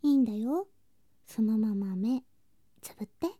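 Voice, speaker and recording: A woman playing an affectionate, clingy younger girl cousin in an intimate bedtime ASMR drama, speaking softly and breathily, often in whispers, drowsy and coaxing. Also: a little high, moderate pace, no room sound, studio quality, close to an everyday voice. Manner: soft, drowsy, coaxing, sweetly childlike.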